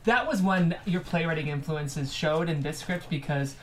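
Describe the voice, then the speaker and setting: A woman's voice: low-pitched, moderate pace. A woman hosting a show, interviewing a guest in a conversational style. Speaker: podcast host. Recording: faint background noise.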